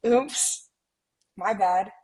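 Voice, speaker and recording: An actress playing a sincere, sweet millennial twin sister in a romantic comedy script reading. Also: female voice, medium pitch, a little mellow. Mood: shy, flirty. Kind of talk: romcom table read acting.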